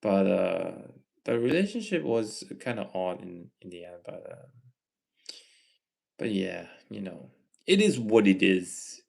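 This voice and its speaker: A young man from Malaysia hosting a casual livestream, reading viewer comments and giving earnest advice in a slow, halting way. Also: sits low, sing-song, gravelly, a little mellow. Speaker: livestream host.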